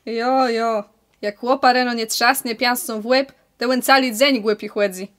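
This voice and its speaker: A woman acts as a young village bride-to-be in a radio play. Her voice is high, cheeky and giggly, with a Kashubian accent. She sounds like an eager country girl. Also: steady tone, moderate pace, fairly bright tone, natural voice. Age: young adult. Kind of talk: amateur radio-play acting.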